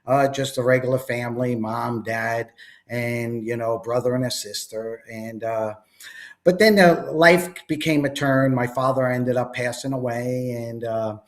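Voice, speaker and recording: A man's voice: mid-range voice, steady tone, unhurried. A middle-aged man with a Long Island accent, telling his life story as an interview guest, rambling with many fillers. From New York, USA.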